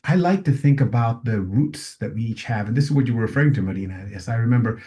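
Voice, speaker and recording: A man giving a reflective, philosophical talk at an online session, pausing often and restarting his sentences. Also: mid-range voice, expressive, dark tone. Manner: halting, many restarts and fillers; musing and philosophical. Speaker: session speaker.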